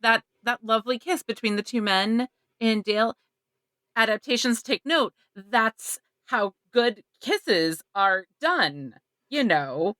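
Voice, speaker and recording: Higher-voiced woman with very lively intonation. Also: slow pace, no echo. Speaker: podcast co-host. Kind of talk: casual panel chat.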